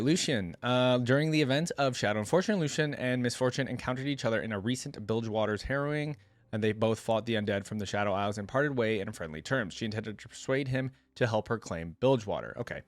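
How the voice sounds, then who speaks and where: A man hosting a reaction video, reading aloud and adding casual, conversational commentary as he muses out loud. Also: mid-range voice, dry recording.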